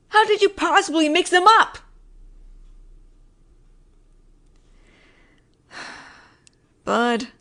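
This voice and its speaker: A woman performs a female mafia boss in an audio roleplay, her voice pitched on the high side. She talks in wry wiseguy slang and sighs at the incompetence around her. Underneath the exasperation she is apologetic and protective. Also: a bit bright. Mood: exasperated. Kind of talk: roleplay audio drama monologue.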